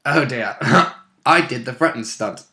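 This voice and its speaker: Bright-voiced man chatting as a player. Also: medium pitch, expressive, a little slow. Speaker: player in a tabletop game. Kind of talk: casual chat.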